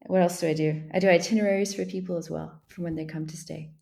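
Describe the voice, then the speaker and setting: A woman from Montreal with a low voice answers interview questions casually. She is chatty and self-deprecating, with an easy, conversational flow. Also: steady tone, fast, creaky, dark tone. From Montreal, Canada. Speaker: interview guest.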